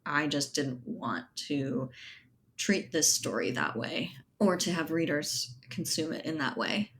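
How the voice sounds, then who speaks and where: Low-voiced woman, thoughtful interview talk. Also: expressive, a little slow, a bit bright, a little hiss or hum. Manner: thoughtful, hedging, often ends phrases with right or you know. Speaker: podcast guest, writer and editor. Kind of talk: reflective conversational interview talk.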